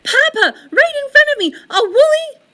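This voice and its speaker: An excited prehistoric child from a storybook, acted out by a woman reader. The voice is very high and swings widely as it eagerly pleads and exclaims. Music plays underneath. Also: normal speed, no room sound, heavily acted. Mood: breathless, pleading. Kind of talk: storybook character read aloud.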